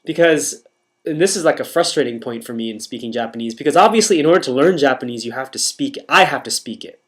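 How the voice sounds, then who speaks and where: Halting American man vlogging casually. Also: medium pitch, lively intonation. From United States. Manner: halting, self-interrupting, frequent restarts. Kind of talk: casual monologue to camera.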